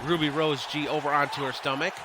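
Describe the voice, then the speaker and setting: A man's voice: fairly high pitch, flat delivery, fast, heavy background noise. A man doing informal live play-by-play of a wrestling game, talking through his slips, his voice starting to give out. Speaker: e-fed wrestling streamer and commentator. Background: wrestling game crowd.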